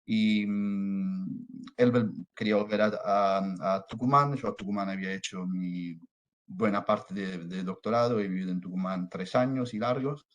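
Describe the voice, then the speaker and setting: A man's voice: low-pitched, moderate pace. A man from southern Italy speaking Spanish with an Italian accent, giving a hesitant conference talk over a video call. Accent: Italian, from Salerno, speaking Spanish with Argentine touches. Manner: hesitant, many fillers and restarts. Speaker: researcher and lecturer presenting.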